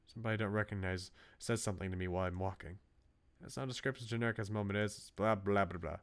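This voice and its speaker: A man's voice: lowish voice, steady tone, rapid-fire, smooth. A man doing casual livestream commentary, reading a visual novel aloud with playful, dry asides. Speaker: game streamer. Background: game music.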